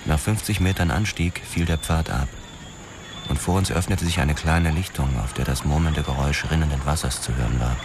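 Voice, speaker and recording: A man's voice: very deep voice, a little fast, gravelly, background noise. An actor playing a hard-boiled police detective who narrates his own story in a radio play, brooding and terse. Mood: tense, grim. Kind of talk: scripted first-person radio play narration.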